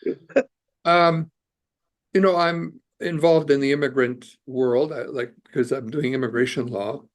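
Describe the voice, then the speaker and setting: A man's voice: medium pitch, fairly even intonation, unhurried. An older Canadian-born man, an immigration lawyer and author, talking earnestly as a podcast guest with many fillers and restarts. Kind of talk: casual interview conversation.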